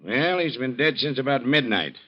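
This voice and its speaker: An actor playing a matter-of-fact sheriff, businesslike and clipped, in 1940s radio style. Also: male voice, mid-range voice, lively intonation, moderate pace, faint music behind, natural voice. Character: matter-of-fact, brisk small-town male sheriff. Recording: dull vintage 1940s radio recording.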